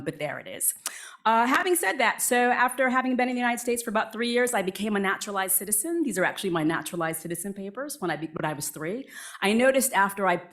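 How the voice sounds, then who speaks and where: A woman in her forties, born in Vietnam and raised near Boston, talking to a live audience in a chatty, self-deprecating way. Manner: chatty and self-deprecating, lively pitch swings. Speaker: guest speaker, writer. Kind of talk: casual talk to a live audience.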